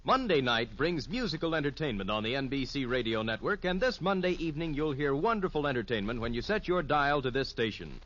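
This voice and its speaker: Male network announcer, lively sweeping intonation, scripted promo read on muffled old radio. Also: medium pitch, fairly quick, hardly any pauses.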